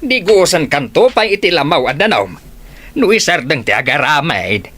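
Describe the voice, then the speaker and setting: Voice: mid-range voice, sing-song, normal speed, fairly bright tone, a little hiss or hum. An actor with an Ilocano accent playing an exasperated, scolding older village parent in a comedy radio drama.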